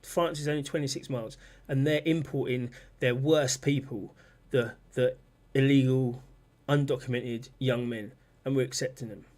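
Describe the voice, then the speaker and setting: A man's voice: mid-range voice, steady tone, moderate pace, a little mellow. A man hosting a casual livestream, rambling and repeating himself as he talks and reads out chat comments. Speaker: livestream host. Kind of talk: casual political livestream talk.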